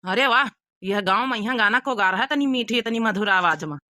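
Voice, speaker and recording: Lovestruck young rural son for a cartoon woman performer, Awadhi accent, highish and bright, gushing, folksy. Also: animated, brisk, dry recording, clean studio sound. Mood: smitten, gushing. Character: eager, lovestruck young village bachelor son. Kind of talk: Awadhi comedy cartoon acting.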